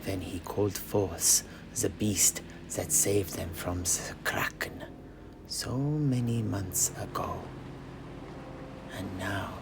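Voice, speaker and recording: A man telling an in-character fantasy story in a dramatic, measured and halting manner. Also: deep voice, background noise.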